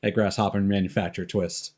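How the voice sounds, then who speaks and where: A man's voice: low voice, level tone, quick pace, a little mellow. A man giving casual live commentary as he streams a video game. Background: game music.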